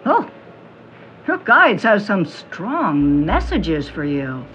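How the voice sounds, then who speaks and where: A woman playing a fortune-teller in an audio drama, reading palms and cards with slow, portentous pauses. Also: fairly low pitch, huge pitch swings, a bit bright, slight background noise, full character voice. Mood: mystical, portentous. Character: theatrical fortune-teller, businesslike about payment. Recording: dull sound with music behind.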